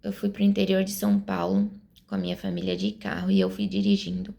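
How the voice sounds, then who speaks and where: A woman's voice: on the low side, very animated, a little fast, vocal fry, dark tone. A Brazilian woman in her forties giving her opinions to camera in an earnest, explanatory way, thinking aloud as she talks. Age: 40s. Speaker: vlogger.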